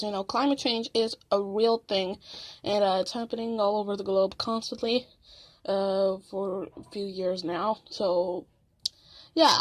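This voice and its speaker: A woman's voice: medium pitch, close to an everyday voice. Woman playing a hesitant field news correspondent. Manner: hesitant, informal. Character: anxious young male field news correspondent.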